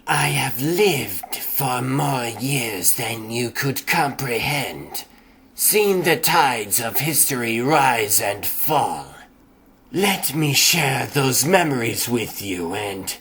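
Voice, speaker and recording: A man's voice: medium pitch, animated, fairly bright tone. A man voicing an ancient alien scarab spirit in a comic dub, solemn, with formal, elevated speech. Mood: solemn, ominous. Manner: slow, formal, elevated wording.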